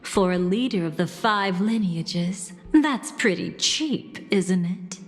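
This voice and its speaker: A woman voicing a sly, alluring woman of mystery in a video game, teasing and cool, with a languid, partly breathy delivery. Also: mid-range voice, lively intonation, slow pace, soft background music. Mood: mocking. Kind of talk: video game character dialogue.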